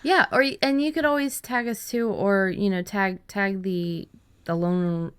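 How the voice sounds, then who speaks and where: A woman's voice: mid-range voice, unhurried, fairly bright tone, clean tone. A woman hosting a writing podcast, talking casually with frequent restarts and repeated words. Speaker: podcast host.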